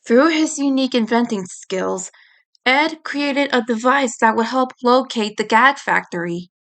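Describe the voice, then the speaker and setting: A woman's voice: fairly high pitch, animated, a little slow, fairly bright tone. A woman reading scripted fan fiction as an audiobook narrator. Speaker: audiobook reader.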